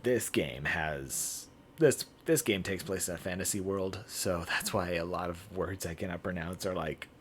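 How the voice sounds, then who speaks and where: Low-voiced man streaming a game playthrough. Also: slight background noise. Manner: halting read-aloud with stumbles and self-corrections. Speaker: streamer playing a visual novel. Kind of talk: live playthrough, reading game text aloud.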